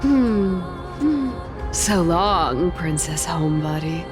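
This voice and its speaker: A woman's voice: on the low side, slow, music in the background. A woman playing a sly, flippant young female trickster thief in a video game, with a playful, colloquial drawl. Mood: breezy, playful.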